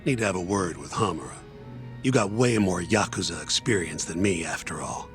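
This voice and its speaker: A man acting as a brisk, pragmatic, unsentimental defense lawyer in a video game, speaking bluntly and colloquially. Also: low voice, a bit bright, soft background music. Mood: matter-of-fact. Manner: blunt, colloquial, matter-of-fact. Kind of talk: English game dub dialogue.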